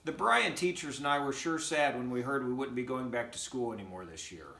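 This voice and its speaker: A man's voice: medium pitch, lively intonation, brisk, gravelly, sharp tone. A middle-aged man, an educator, reading a picture book aloud expressively and speaking directly to children. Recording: roomy, echoing home recording.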